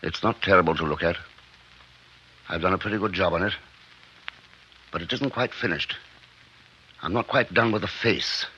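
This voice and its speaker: An actor playing a proud, secretive, driven scientist in a 1950s radio drama, with an intense, clipped delivery. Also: male voice, low voice, normal speed, pauses often, bright, forceful tone. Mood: uneasy determination. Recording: muffled, noisy 1950s radio recording, clicks.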